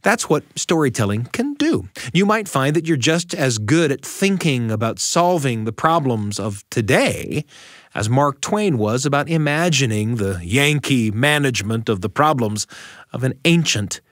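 An American man hosting a radio show in an expressive storytelling style, speaking directly to the listener with dramatic pauses. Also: mid-range voice, no room sound, studio quality. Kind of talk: expressive scripted storytelling narration.

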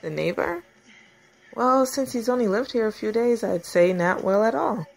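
A woman's voice: a little high, expressive, normal speed, vocal fry, poor audio. A woman playing an angry, distrustful and stubborn wife in an audio drama. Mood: irritated, confrontational. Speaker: actor and narrator in an audio drama.

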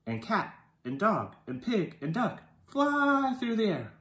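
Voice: medium pitch, wildly swooping pitch, slow, fairly bright tone. A storytime host reading a children's picture book aloud, acting out the dialogue expressively. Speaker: storytime reader. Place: living room. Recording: roomy indoor echo.

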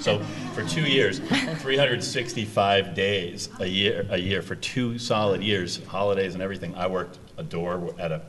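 A man's voice: deep voice, a bit bright, a little hiss or hum. A man in his thirties moderating a university panel, talking directly and energetically to students, recorded on a table microphone in a meeting room. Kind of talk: casual panel talk.

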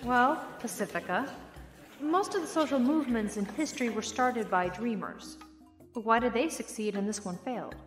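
Actress as inquisitive female professor, measured and academic, fairly high voice, audio drama with hall reverb. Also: lightly acted. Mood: skeptical, curious. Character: probing, composed female university professor leading class debate. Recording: echoey hall reverb with music.

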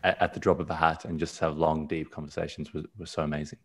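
A man speaks from a small room at home over a video call webcam. His voice sits low. He rambles gently, repeating words and restarting sentences as he talks. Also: level tone, quick pace, husky. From South Africa, raised in Australia. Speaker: podcast guest. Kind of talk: casual conversation on a video call.

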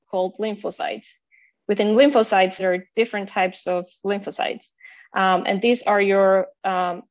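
A woman, a pediatric rheumatologist whose first language is Spanish, giving a conference talk over a video call with many fillers and restarts. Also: mid-range voice. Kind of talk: conference presentation.

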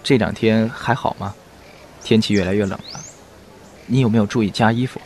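A man's voice: fairly low pitch, steady tone, brisk, darkish tone, a little hiss or hum, lightly acted. A man playing a devoted, stubborn young ex-lover in a modern audio drama, earnest and pleading. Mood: tender. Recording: music under the voice.

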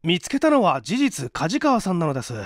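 A man's voice: sits high, very animated, fairly quick, no echo, studio quality, voice pushed up. A man voicing a nervous, earnest and polite young man in a story reading.

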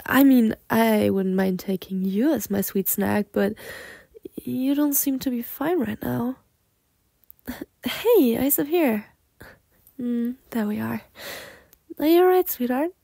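A woman's voice: on the high side, takes it slowly, creaky, pauses often, no room sound, studio quality, full character voice. A woman playing a flirty, teasing, confident half-snake lamia in an ASMR roleplay, coaxing the listener. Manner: flirtatious, coaxing, breaking into soft giggles and whispered asides.

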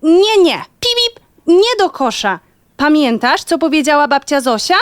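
Kobieta jako wysokie, przemądrzałe autko-zabawka. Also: female voice, sits high, sing-song, unhurried, dry recording, studio quality. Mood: warning. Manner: eager, explaining, punctuated with beeps. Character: knowing, boastful female toy car that beeps. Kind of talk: children's audio drama acting.

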